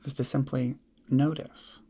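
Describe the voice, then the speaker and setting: A man guiding a meditation in soft, breathy, measured short phrases with long pauses, often trailing into a near whisper. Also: medium pitch, little pitch movement. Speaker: meditation guide. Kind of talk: guided meditation, slow instruction.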